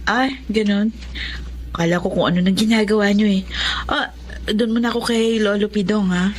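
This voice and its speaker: A woman's voice: mid-range voice, fairly even intonation, normal speed, natural voice. Young Filipino big sister played by a woman. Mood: casual. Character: casual young older sister. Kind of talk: Filipino radio drama acting.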